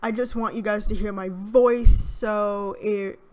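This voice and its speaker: A young woman with a soft, shy voice, chatting through a game video in a hesitant, rambling way. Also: mid-range voice, fairly even intonation, slow. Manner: rambling, hesitant with many fillers. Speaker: gaming YouTuber. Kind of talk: casual let's play commentary.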